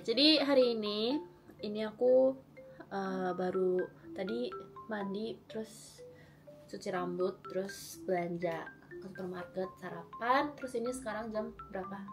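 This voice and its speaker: A young woman in her twenties, slightly hoarse from a recent cold, chatting casually and rambling with lots of fillers and giggles while she does her makeup at home. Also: medium pitch. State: recovering from a cold. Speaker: beauty vlogger. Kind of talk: casual makeup vlog chat.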